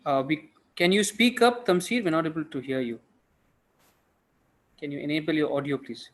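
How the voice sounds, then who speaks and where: A man with a slightly high voice moderates an online event. He speaks informally as host, heard over a video call. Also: normal speed, fairly bright tone. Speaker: host of an online pitch competition.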